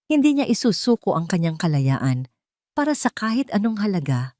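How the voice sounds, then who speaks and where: A woman's voice: mid-range voice, very animated, moderate pace, a little mellow, no room sound, studio quality. A woman narrating a scripted dramatic story.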